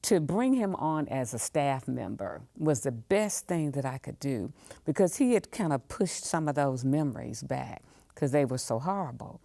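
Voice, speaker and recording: A woman's voice: very deep voice, lively intonation, moderate pace, gravelly, warm tone, no echo. A woman talking as an interview guest, repeating words for emphasis. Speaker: museum leader, podcast guest. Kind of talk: conversational interview.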